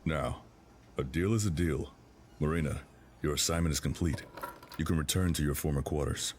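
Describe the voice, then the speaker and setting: A middle-aged senior crime figure with an extremely deep voice. He is measured, restrained and conscientious, reasoning as in a screen drama. Clear noise sits behind him. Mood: firm, restrained. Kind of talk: dramatic film-style acting. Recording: clearly noisy background ambience.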